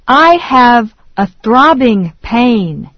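A woman slowly reading English phrases one at a time in a language drill, with deliberate enunciation. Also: a little high, very animated, a bit bright, dry recording. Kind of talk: slow repeat-after-me English drill. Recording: dry, dull lo-fi studio read.

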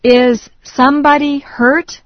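A woman slowly reading English phrases one at a time in a language drill, with deliberate enunciation. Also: high voice, level tone, no echo. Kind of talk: slow repeat-after-me English drill. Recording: dry, dull lo-fi studio read.

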